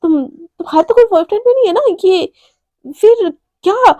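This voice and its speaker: A woman's voice: very high voice, animated, moderate pace, warm tone, no room sound, pitched above the performer's own voice. A woman voicing a nosy, suspicious young coworker who asks pointed questions. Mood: prying.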